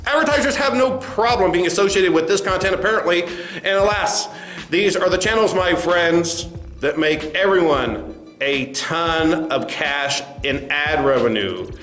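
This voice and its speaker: A man playing a smug, condescending corporate spokesman in a satirical comedy sketch, patronizing and given to halting asides. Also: high voice, fairly bright tone. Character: smug, condescending male corporate spokesman addressing angry protesters. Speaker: company spokesman. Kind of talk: satirical sketch, mock press conference. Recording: roomy echo, faint music behind.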